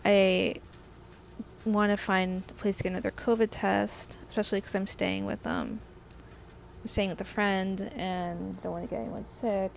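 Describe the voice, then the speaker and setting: A young woman, slightly hoarse, telling a rambling casual storytime full of "like" fillers. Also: medium pitch, expressive, normal speed, slight background noise. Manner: rambling, heavy use of "like" fillers. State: slightly hoarse from a sore throat. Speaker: vlogger.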